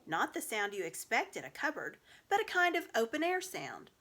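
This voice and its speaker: A woman reading a children's story aloud in an expressive narrating style. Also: fairly high pitch, moderate pace. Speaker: storybook reader.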